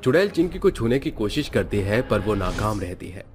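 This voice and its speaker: Low-voiced man narrating over music. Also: big pitch swings, normal speed. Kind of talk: scripted dramatic story narration.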